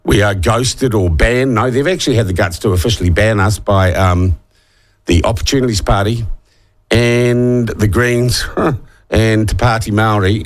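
A middle-aged man with a New Zealand accent, husky from a head cold, hosting a talk radio show in a sarcastic, opinionated monologue. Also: lowish voice. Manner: sarcastic, scornful asides.

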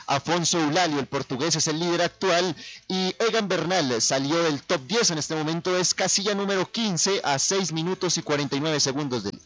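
A man co-hosting a sports radio show, reading results in a brisk, factual way. His voice sits a little high for a man. The sound is dull and lo-fi, like an over-the-air broadcast. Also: a bit bright.

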